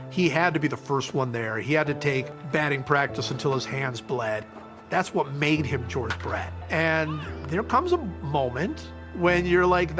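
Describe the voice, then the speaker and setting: Higher-voiced man in documentary interview, music behind.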